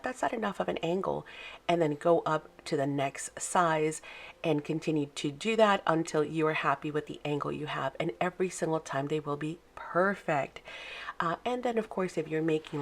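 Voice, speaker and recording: A woman hosting a casual craft tutorial, with a froggy morning voice, chatting step by step with plenty of fillers. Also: lowish voice. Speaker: craft video host. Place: home craft desk, early morning.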